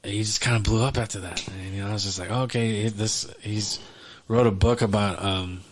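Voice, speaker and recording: A low-pitched man chatting loosely as a podcast co-host. He rambles, restarts his sentences and leans on fillers as he talks. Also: steady tone, normal speed. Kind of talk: casual chat.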